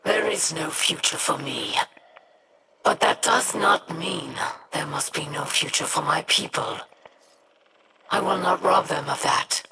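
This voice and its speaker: An actress voicing a bitter, proud, weary female alien warrior leader in a game, grave and deliberate. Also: deep voice, bright, forceful tone, slight background noise, exaggerated voice. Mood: resigned. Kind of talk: dramatic game dialogue.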